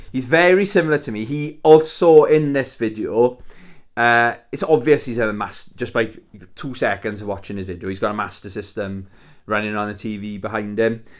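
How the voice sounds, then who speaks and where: A man's voice: fairly low pitch, normal speed. A man in his forties with a thick Welsh accent, chatting casually and rambling through his week in a personal vlog, with many fillers and restarts. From Wales. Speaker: YouTuber. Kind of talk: casual weekly roundup vlog.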